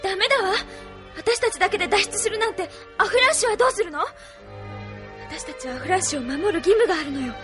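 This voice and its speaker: A woman's voice: very high voice, animated, quick pace, music in the background. An actress playing an earnest, headstrong and loyal young resistance woman in a Japanese anime audio drama, pleading and insistent. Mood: determined.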